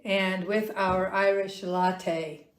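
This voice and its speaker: A woman's voice: medium pitch, level tone, extremely slow, a little hiss or hum. A middle-aged woman hosting a casual family livestream, chatty and upbeat. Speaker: host. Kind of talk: casual chat.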